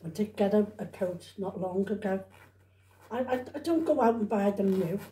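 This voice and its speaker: A woman's voice: mid-range voice, normal speed, warm tone. A woman chats casually at home, rambling and repeating herself, often tacking little questions onto the ends of her remarks. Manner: chatty, repetitive, with trailing tag questions. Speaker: vlogger showing shopping haul. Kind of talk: casual rambling chat to camera. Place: indoors at home. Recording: roomy, echoey home recording.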